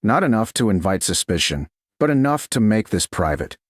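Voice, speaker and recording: A man narrates a romance story in a lowish, husky voice. His phrasing is measured and dramatic, with short phrases and a very lively, moving pitch. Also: dry recording, clean studio sound. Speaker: audiobook narrator.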